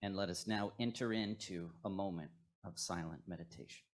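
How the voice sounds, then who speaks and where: A man leading a scripted, poetic guided meditation at a worship service, with measured, reflective phrasing. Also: low voice. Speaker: worship leader at a fellowship.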